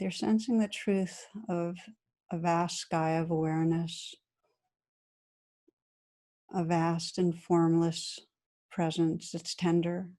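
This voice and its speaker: A woman meditation teacher guiding a practice in a gentle, soothing, unhurried manner with long pauses between phrases. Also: fairly low pitch. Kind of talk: guided meditation.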